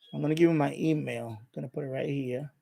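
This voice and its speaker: A man's voice: a little high, fairly even intonation, fast, vocal fry, mellow. A middle-aged man chatting casually and unscripted as a live stream host, rambling and repeating himself as he explains.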